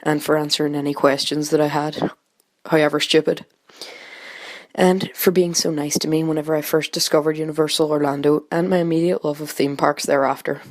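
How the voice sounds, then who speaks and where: A woman's voice: low voice, dry recording, studio quality. A woman earnestly reading out a grateful listener message. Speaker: listener tribute. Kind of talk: read-out message.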